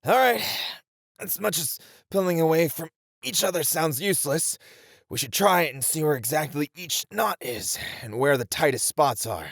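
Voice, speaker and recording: Playful, unflappable male ninja voiced by a man for ASMR audio drama; animated swings in pitch, gravelly fry, close dry sound. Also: moderate pace, no echo, heavily acted. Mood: determined. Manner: teasing, reassuring, animated.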